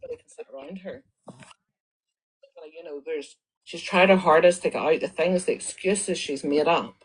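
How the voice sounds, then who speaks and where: A woman's voice: sits low, normal speed, breathy edge, low-quality recording. Indignant Northern Irish woman ranting live. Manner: indignant, accusatory, repetitive. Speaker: livestreamer. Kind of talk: live stream rant to viewers.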